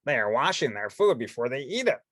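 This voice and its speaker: A woman's voice: very deep voice, sing-song, a little fast, dry recording, studio quality, voice pushed up, full character voice. A woman voicing a sensible, bookish male puppet in a children's storybook, explaining things in a matter-of-fact, instructive way. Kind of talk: children's storybook read-along character voice.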